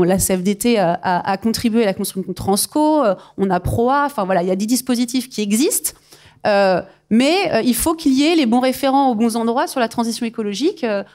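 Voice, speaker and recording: Woman in her forties, fairly high voice, halting panel speaker, handheld mic on stage. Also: darkish tone. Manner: hesitant, many fillers and restarts, thinking aloud. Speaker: union representative on a panel. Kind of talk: panel discussion. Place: large conference stage.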